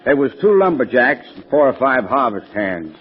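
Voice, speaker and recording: Folksy cab driver, old radio. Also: male voice, mid-range voice, big pitch swings, moderate pace, breathy edge. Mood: chuckling, unworried. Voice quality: folksy drawl with dropped grammar. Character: folksy, chuckling rural New Hampshire cab driver. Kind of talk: 1940s radio acting. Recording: dull, lo-fi old radio recording.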